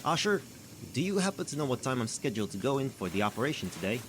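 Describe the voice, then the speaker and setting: Audio drama doctor, male actor: lively intonation, mid-pitched, weary and grumbling, some noise behind. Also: fast talker, lightly acted. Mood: exasperated. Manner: hesitant, sighing, exasperated.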